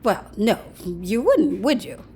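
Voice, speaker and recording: A woman's voice: mid-range voice, big pitch swings, slow pace, a little mellow, breathy edge, exaggerated voice. A woman acting in a naturalistic audio drama as a breezy, unapologetic, sardonic woman who teases flippantly. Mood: flippant, amused. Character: breezy, unapologetic woman with an experimental safety chip.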